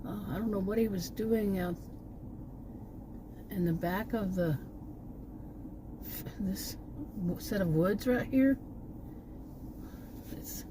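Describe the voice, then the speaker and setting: A woman's voice: on the low side, noisy background. Rambling Texan woman talking outdoors. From Texas. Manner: rambling, chatty, with frequent fillers and self-corrections. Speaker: channel host. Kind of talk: casual vlog monologue. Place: outdoors near woods and a park.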